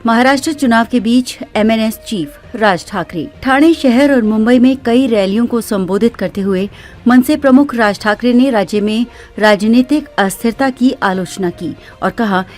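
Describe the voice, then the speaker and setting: A woman's voice: fairly high pitch, moderate pace, darkish tone. Woman reading formal news over music. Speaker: news narrator. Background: background music.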